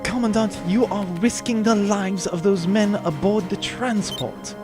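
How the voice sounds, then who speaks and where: Long-suffering officer's manservant, male actor, comedy audio drama: fairly high voice, dry, polite, scored with music. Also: steady tone, unhurried. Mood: anxious, exasperated.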